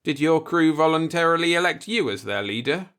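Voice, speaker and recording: A man's voice: a little high, animated, fairly quick, fairly bright tone, smooth, voice pushed up. A man voicing a stern, distrustful young spaceship captain in a sci-fi audiobook, blunt and sardonic but earnest.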